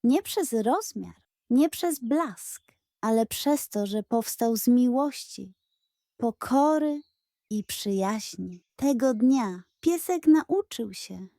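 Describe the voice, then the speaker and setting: Soft, unhurried woman storyteller. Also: on the high side, lively intonation, no room sound, clean studio sound. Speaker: storybook narrator. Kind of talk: scripted children's audiobook narration.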